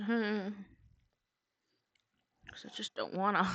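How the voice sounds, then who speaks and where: A woman's voice: medium pitch, fairly even intonation, extremely slow, bright, forceful tone, no echo. A woman livestreamer chatting casually and rambling, half muttering to herself, close to a streaming mic. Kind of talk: casual livestream chat while drawing.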